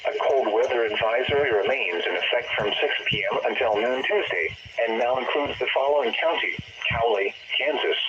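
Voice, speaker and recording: A synthetic-sounding male announcer reads a formal weather bulletin in a measured, impersonal way over the radio. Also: medium pitch. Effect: weather radio broadcast. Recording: dull, noisy radio playback in a room.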